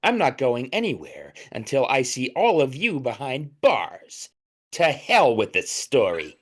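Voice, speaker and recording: Bitter accuser for audio drama, woman playing: confrontational, slow and animated delivery, clearly noisy sound. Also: extremely low pitch, exaggerated voice. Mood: furious, threatening. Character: bitter, hot-headed accuser who threatens to call police. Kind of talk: audio play acting.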